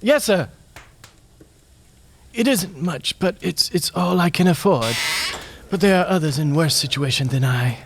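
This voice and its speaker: A man playing a meek, kindly, timid poor clerk in a radio play, deferential and hesitant, with a husky voice. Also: fairly high pitch, expressive, measured pace, long pauses, noisy background. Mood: timid, polite.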